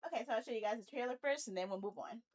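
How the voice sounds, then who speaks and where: A woman's voice: a little high, racing, darkish tone. A woman hosting a livestream, chatting casually and playfully and talking to herself as she sorts out her setup. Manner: playful, talks to herself while troubleshooting. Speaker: live streamer host. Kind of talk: casual livestream chat while setting up a game.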